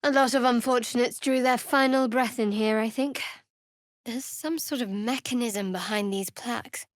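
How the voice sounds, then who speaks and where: Woman acting a guarded, sardonic young cleric, higher voice. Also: moderate pace, no room sound, natural voice. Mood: wary, observant. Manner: dry, guarded, wry delivery. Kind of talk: video game character voice acting.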